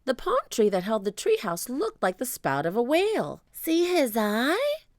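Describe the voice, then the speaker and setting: A woman reading a children's book aloud as an expressive storyteller. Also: a little high, normal speed, dry recording, clean studio sound.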